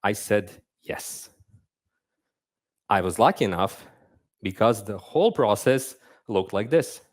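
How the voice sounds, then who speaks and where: Young man, lively swinging pitch, casual self-mocking conference speaker. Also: takes it slowly. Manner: animated, conversational, self-deprecating humor. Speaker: conference speaker, game narrative designer. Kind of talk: live conference talk. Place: stage at a conference.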